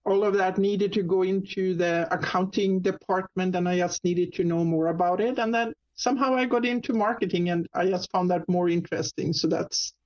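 A woman from Sweden with a Swedish accent, a guest telling her career story in a talk show interview, pausing often with ums and restarts. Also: on the low side, lo-fi sound. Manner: halting, many ums and restarts. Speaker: guest, marketing business owner.

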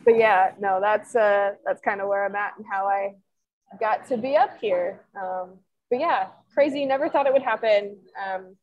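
A woman's voice: mid-range voice, moderate pace, muffled recording. A woman in her twenties, a sports columnist, answering interview questions in a chatty, rambling way full of fillers. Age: 20s.

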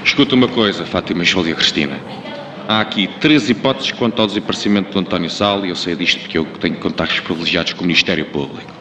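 Man playing self-important TV pundit, radio comedy, fast, low, emphatic, echoey and noisy. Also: close to an everyday voice. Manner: pompous, emphatic, redundant. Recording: roomy echo, noisy background.

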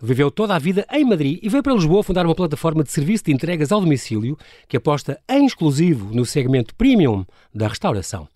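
A man with a European Portuguese accent hosting a radio interview, chatty and quick to laugh. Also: on the high side, very animated, a little mellow, no room sound, studio quality. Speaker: interviewer host.

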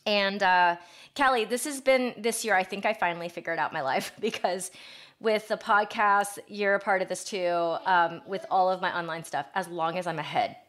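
A woman's voice: mid-range voice, moderate pace, a bit bright. A woman in her thirties co-hosting a podcast, chatting in an upbeat, casual way with many fillers. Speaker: podcast co-host. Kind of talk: casual chat.

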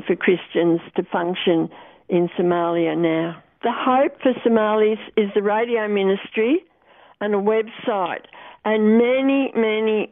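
Older woman, Australian accent, telephone-quality sound, creaky voice, earnest halting recollection, interview guest. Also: mid-range voice, no room sound. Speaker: interview guest, former missionary. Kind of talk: reflective interview answers, recounting memories. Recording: thin phone-like line.

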